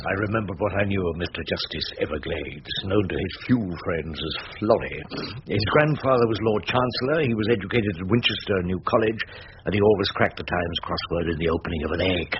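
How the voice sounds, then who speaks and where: An actor playing a wily ageing English barrister in a radio drama, with a wry, courtly and theatrical manner. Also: male voice, low-pitched, expressive, fairly quick. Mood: wry narration. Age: older. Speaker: barrister and narrator. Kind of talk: radio drama acting with first-person narration. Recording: dull radio recording with faint noise.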